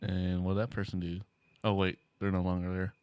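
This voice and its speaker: Man, low voice, quick reactive co-host, dry sound. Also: completely flat delivery, a little mellow, clean tone, dry recording. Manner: short reactive interjections. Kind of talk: casual podcast chat.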